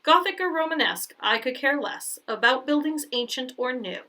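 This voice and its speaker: A woman's voice: high-pitched, lively intonation, normal speed, bright, forceful tone, distant mic, pitched above the performer's own voice. A woman voicing a stern, uptight female teacher in a children's storybook, speaking emphatically. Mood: stern scolding. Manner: emphatic and scolding.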